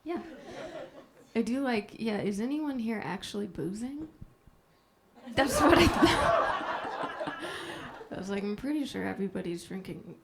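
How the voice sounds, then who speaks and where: Soft-spoken, gravelly deadpan woman comic. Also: mid-range voice, a little slow, pauses often, noisy background. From Minnesota. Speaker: stand-up comedian. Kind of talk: stand-up set. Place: comedy club stage before a live audience. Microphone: handheld stage mic.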